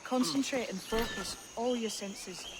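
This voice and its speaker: A woman's voice: on the high side, unhurried, heavy background noise, lightly acted. A woman playing a canny, earnest young pirate lad in a video game, speaking in a cryptic, probing and frank way. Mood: cryptic, coaxing. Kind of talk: video game cinematic acting.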